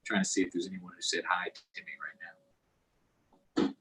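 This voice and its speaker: A man hosting a storytime for children, reading aloud and chatting directly to young viewers in an expressive way. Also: low voice, measured pace, slightly rough. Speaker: storytime host, councilmember. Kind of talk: children's read-aloud and live chat.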